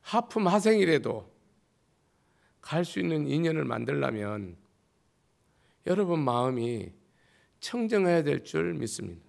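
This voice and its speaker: A man, a Buddhist monk, giving a sermon with a slightly hoarse voice, driving his points home with rhetorical questions and jumps between loud and quiet. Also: a little high, big pitch swings, measured pace, pauses often.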